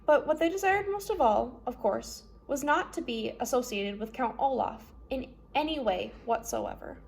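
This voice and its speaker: A woman's voice: sits high, expressive, normal speed, gravelly, slight background noise. A woman narrating a children's novel as a read-aloud storyteller.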